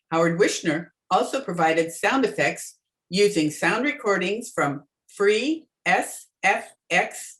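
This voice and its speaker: A woman's voice: lowish voice, rough recording. A woman announcer reading scripted closing credits for a radio drama.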